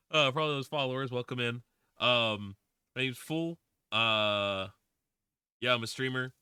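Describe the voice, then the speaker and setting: A man's voice: fairly high pitch, pushed and bright, clear, resonant tone, dry recording, studio quality. A man in his twenties, streaming a game and chatting casually, rambling with lots of fillers and restarts. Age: 20s. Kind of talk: casual livestream chat while playing a game.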